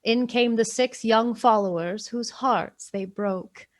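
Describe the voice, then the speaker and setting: A woman's voice: on the high side, slow. A woman narrating a Victorian Christmas tale in a scripted storybook style for a radio play. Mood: festive storytelling.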